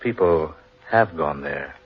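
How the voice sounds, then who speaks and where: An actor playing an earnest, superstitious British gentleman with emphatic, theatrical delivery in an old radio drama. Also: very deep voice, expressive, slow pace, full character voice. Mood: earnest, intrigued. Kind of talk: 1940s radio drama acting. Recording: muffled, husky old radio recording.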